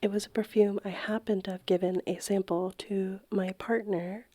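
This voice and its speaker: A woman podcast co-host chatting casually in a hushed, whispered ASMR style. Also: mid-range voice, steady tone, normal speed, no echo.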